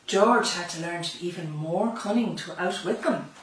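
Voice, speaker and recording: A woman's voice: fairly low pitch, moderate pace, slight background noise. A middle-aged Irish woman reading a picture book aloud to young children, expressively and with chatty asides, in a library room. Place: small library room lined with bookshelves. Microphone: camera a few metres away. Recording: echoey room, slightly distant mic.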